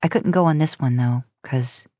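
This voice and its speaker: A woman's voice: a bass voice, moderate pace, dark tone, no room sound, clean studio sound, voice pushed down. A woman voicing a guarded, awkward teenage boy with a casual, hesitant manner in a story.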